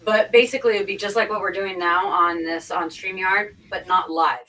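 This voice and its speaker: A woman's voice: mid-range voice, steady tone, a little fast, sharp tone. A woman chatting casually as a guest on an online livestream panel, heard from a slightly distant mic. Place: online group video call. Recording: distant mic with faint background noise.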